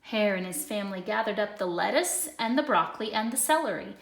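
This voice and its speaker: A woman narrating a children's storybook in an expressive read-aloud style. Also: mid-range voice, brisk. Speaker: storybook reader. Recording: echoey room.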